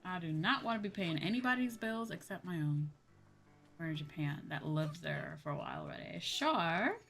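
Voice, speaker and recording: Low-voiced woman livestreamer, rambling casually. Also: lively intonation, moderate pace, gravelly, a bit bright. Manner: rambling, thinking aloud, trailing off with "you know". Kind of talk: casual chat with viewers while streaming a game.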